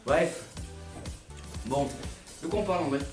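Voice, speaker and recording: A man's voice: fairly high pitch, measured pace, far from the mic. Young male student radio host, joky and profane, echoey room, off-mic, music bed. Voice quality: joking, swearing, repeats himself. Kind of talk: casual radio chat.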